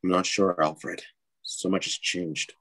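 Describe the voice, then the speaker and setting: A man playing a troubled, brooding superhero in a table read of an animated film, speaking in terse, grave, halting phrases. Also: low-pitched, no echo, heavily acted.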